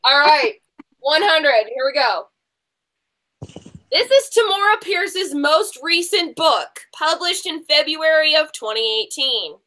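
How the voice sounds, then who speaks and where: A woman hosting a casual book quiz, chatting in an animated, teasing way. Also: high-pitched, slow, rough recording. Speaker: quiz show host.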